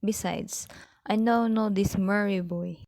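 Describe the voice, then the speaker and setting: A woman's voice: mid-range voice, fairly even intonation, a little slow, creaky, a little mellow. A woman acting a strict mother of teenagers in an amateur radio play, firm and scolding.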